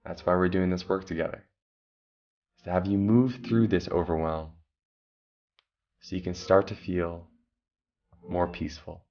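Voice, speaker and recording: A man calmly guiding a meditation, giving slow breathing instructions with long pauses. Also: sits low, dull audio. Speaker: meditation guide. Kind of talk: guided meditation.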